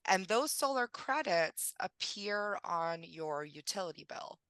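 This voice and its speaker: A woman presenting a webinar on billing, a bit gravelly as she gets over a cold, explaining steps in a friendly way with frequent ums. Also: fairly low pitch, lively intonation, measured pace, a bit bright, dry recording. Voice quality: slightly gravelly. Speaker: billing presenter for a solar company.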